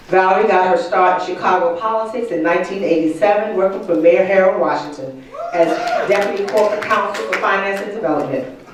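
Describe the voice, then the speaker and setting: A woman's voice: medium pitch, slight background noise. A woman host introducing the keynote speaker in a folksy, chatty way, joking with the audience. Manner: folksy, chatty, talks to the crowd. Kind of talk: casual introduction at an event. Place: large conference room. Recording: echoey hall, mic from far off.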